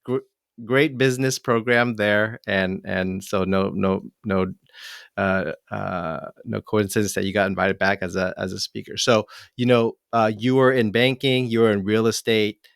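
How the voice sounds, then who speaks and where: A man in his forties hosting an interview, friendly and a little halting with frequent restarts. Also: on the low side, fairly even intonation, gravelly, smooth, no room sound, studio quality. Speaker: interview host. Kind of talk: hosted interview.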